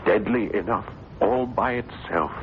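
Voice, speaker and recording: Man acting deep, brooding, obsessed French writer. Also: measured pace, lightly acted. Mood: ominous, intense. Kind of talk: 1970s radio drama acting. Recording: noisy dull old radio recording.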